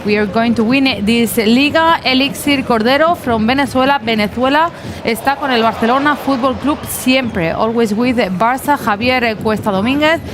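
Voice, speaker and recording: A woman reads viewer comments aloud on a live stream, laughing often and stumbling over names. Her voice is fairly high. Loud outdoor traffic noise sits behind her. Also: moderate pace. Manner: giggly, hesitant with names. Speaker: co-host reading viewer comments. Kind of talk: casual chat, reading comments aloud.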